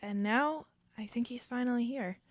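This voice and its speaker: Radio drama mother , female actor: anxious, polite, hesitant, higher register, close dry sound. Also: normal speed, no room sound, close to an everyday voice.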